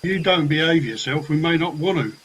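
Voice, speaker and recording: A man's voice: fairly high pitch, animated, fairly quick, a bit bright, breathy edge. A man playing a cheeky, defensive dodgy dealer in a comic radio play. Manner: cheeky, colloquial.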